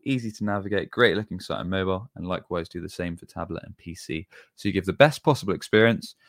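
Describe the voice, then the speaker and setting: A man's voice: on the low side, no echo, clean studio sound. A man giving an explanatory tutorial as an agency presenter, talking informally and going through things step by step. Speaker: web design agency presenter.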